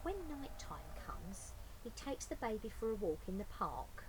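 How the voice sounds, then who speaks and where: Animated, unhurried woman storyteller. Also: mid-range voice. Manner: playful asides to young listeners. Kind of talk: animated children's picture-book read-aloud. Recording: faint background noise.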